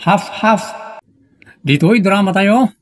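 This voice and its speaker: A man's voice: sits high, slow. A man announcing a comedy drama programme, giving greetings and the episode introduction.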